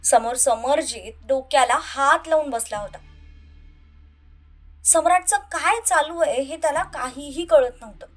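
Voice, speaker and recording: High-pitched woman narrating a story. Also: measured pace, faint music behind. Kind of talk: dramatic scripted narration.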